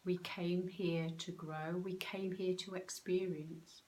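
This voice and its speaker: A woman leading a guided meditation in a calm, soothing, unhurried way, with pauses between short phrases. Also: on the low side, slight background noise. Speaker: meditation guide, soul coach.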